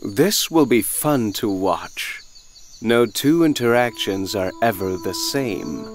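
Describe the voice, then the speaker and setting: Man acting a slow, low-voiced amused god. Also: animated, a little hiss or hum. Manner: leisurely, savouring his words. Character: amused, worldly male god watching mortals. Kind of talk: fantasy audio drama acting.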